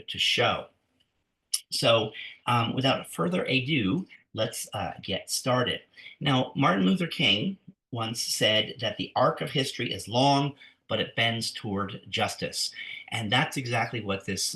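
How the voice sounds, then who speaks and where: A man with an American accent, a museum educator giving a webinar history lecture, his voice hoarse from a recent flu, halting with many ums and restarts. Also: on the low side, sharp tone, poor audio.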